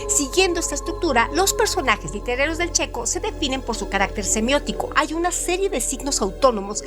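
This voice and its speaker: A woman host reading a scripted trivia segment in a measured, expressive way. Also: high voice. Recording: music playing underneath. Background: background music.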